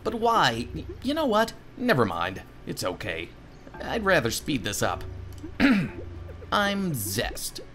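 An exasperated young hero of a comic adventure game, acted out by a man. He is sarcastic and excitable, with theatrical swings in pitch. Faint music plays behind him. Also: slow, heavily acted. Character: exasperated, sarcastic male adventurer and new homeowner.

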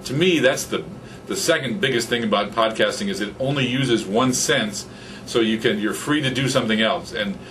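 A man's voice: fairly low pitch, moderate pace, slight background noise, low-quality recording. A man in his fifties, a podcaster, chatting animatedly in an office interview, recorded on a studio microphone. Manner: animated, gestures a lot, gets excited. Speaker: podcaster and guest. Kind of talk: casual interview chat. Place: small office with computers. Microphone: studio condenser mic on stand in front.

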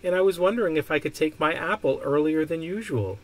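Man playing kindly male coach, storybook character, slightly high voice, gentle, measured, patient. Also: close to an everyday voice, poor audio. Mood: calm. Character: kindly, calm male baseball coach, puzzled but patient.

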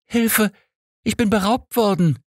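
A man's voice: high-pitched, unhurried, no room sound, studio quality, pitched above the performer's own voice. A man voicing a flirtatious, forward female train passenger in an audiobook. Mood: shrill, panicked. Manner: cooing, coquettish. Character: flirtatious forward lady train passenger, later shrill and panicked.

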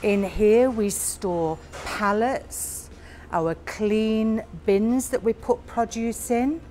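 A woman guiding a warehouse tour, explaining each area in a slow, plain way. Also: on the high side, dark tone, slight background noise. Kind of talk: guided tour narration.

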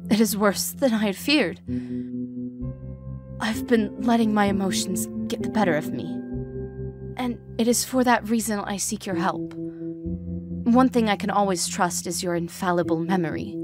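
A woman playing a weary, stern, wounded goat-monster queen with formal, measured diction, in a dramatic comic dub. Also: mid-range voice, over music, lightly acted. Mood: weary, indignant.

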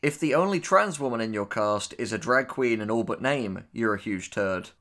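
A man's voice: mid-range voice, lively intonation, normal speed, fairly bright tone. A man co-hosting a casual reaction commentary, blunt and opinionated in how he talks. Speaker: co-host, writer reading out and reacting to tips.

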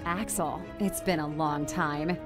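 Brief: tough female gun-for-hire, low, cool, clipped, game cutscene over loud music. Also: fairly even intonation, slow. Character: cool, curt, tough female mercenary agent. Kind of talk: video game cutscene acting.

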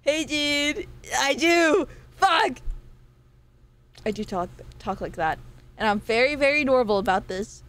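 A fairly high, slightly nasal young woman from California chats playfully with her stream audience. She swears freely and jokes around. She talks into a desk microphone. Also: animated, a little slow, a bit bright. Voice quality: self-described nasal. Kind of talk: casual chat with viewers.

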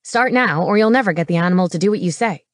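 A woman voicing an easygoing young male medic in an audiobook, brisk and matter-of-fact. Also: fairly low pitch, animated, no room sound, studio quality, natural voice. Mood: reassuring, brisk. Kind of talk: paranormal romance audiobook character.

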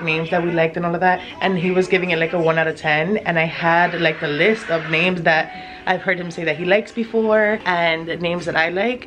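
A woman in her thirties chatting casually to her phone in her home kitchen, bubbly and rambling, with little giggles. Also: fairly low pitch. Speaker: family vlogger. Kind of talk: casual vlog chat. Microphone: phone at arm's length.

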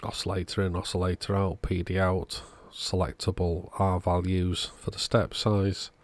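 A man's voice: low-pitched, flat delivery, normal speed, no echo. A man narrating a repair job in a casual, matter-of-fact, understated way. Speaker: host of electronics repair channel.

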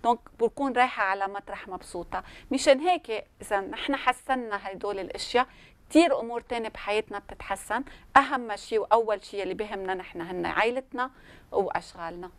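A woman's voice: mid-range voice, quick pace, no echo. A middle-aged woman with a Lebanese accent explaining things conversationally on a studio panel, slipping in French and English words. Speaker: studio guest on parenting and communication. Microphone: lapel mic.

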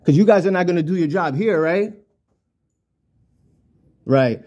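A man's voice: high voice, animated, measured pace, darkish tone, lo-fi sound. A man arguing with officials in an insistent, repetitive way, recorded on a handheld camera in an indoor office. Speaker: citizen journalist filming an audit. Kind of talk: argumentative confrontation with officials. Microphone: handheld camera held by the speaker.

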